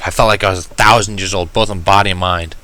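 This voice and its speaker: A man reads first-person fiction aloud. His voice sits a little low but has a bright, forward edge. The delivery is measured and wry, in the manner of an audiobook narrator. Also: fairly even intonation. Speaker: fan fiction reader.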